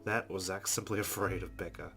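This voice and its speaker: A man's voice: low-pitched, fairly even intonation, fairly quick, vocal fry, a bit bright, faint music behind. A man reading visual novel narration aloud as a let's play streamer, with casual asides.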